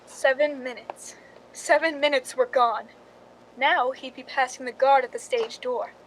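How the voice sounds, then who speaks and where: Casting: actress as scheming jealous woman, high voice, confessional suspense narration for radio drama, slightly noisy recording. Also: fairly even intonation, a little slow. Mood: tense, knowing.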